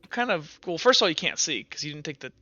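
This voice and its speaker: A game master rambling through a tabletop scene with a bright, sharp voice, full of fillers and hedges. The recording is dry and a bit muffled. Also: medium pitch, sing-song, fast talker, no echo.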